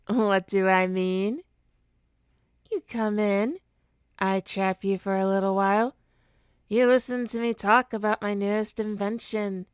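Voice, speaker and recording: A woman's voice: mid-range voice, steady tone, slow, long pauses, clean tone, dry recording, clean studio sound, close to an everyday voice. A woman playing a smug, teasing supervillain with hypnotic powers in an ASMR roleplay, taunting and theatrical in a condescending way. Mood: smug, playful. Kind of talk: ASMR roleplay monologue to listener.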